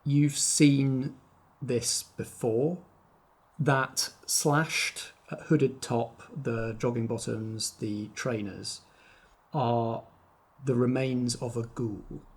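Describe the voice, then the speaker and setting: Slow-paced man narrating as game master. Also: mid-range voice, steady tone, husky. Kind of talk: narrating a tabletop roleplaying game. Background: faint background music.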